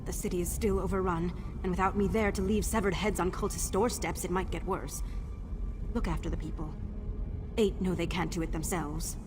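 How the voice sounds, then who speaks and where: A woman's voice: fairly low pitch, fairly even intonation, normal speed, natural voice. A woman playing a brave, wry warrior and protector in a fantasy game, with a dry, understated manner. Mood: wry, subdued. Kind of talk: fantasy game dialogue, naturalistic acting. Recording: orchestral game music behind.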